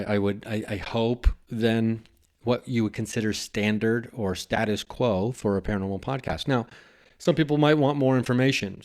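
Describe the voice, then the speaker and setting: A man hosting a podcast in a casual, reflective monologue, musing haltingly and stretching out phrases for emphasis. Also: on the low side, darkish tone. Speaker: podcast host and stand-up comedian.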